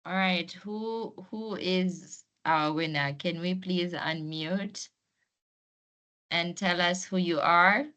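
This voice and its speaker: Slow-paced woman hosting an online webinar. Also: lowish voice, sharp tone. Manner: encouraging, inviting participation. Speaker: host and trainer of an online masterclass. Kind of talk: informal webinar presenting to participants. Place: online video call.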